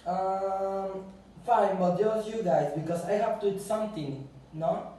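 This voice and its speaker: Echoey, high-pitched earnest sea creature played by a man. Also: dark tone. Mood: uneasy. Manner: halting, non-native English phrasing. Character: earnest older sea creature, brave spokesman for the sea. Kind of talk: amateur puppet show acting. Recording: echoey room, distant microphone.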